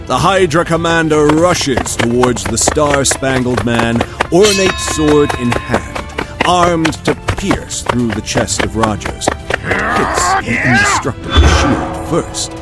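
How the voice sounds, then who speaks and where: An actor serving as the dramatic storyteller of a scripted superhero audio drama. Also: male voice, fairly high pitch, very animated, takes it slowly, over loud music, exaggerated voice. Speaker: narrator.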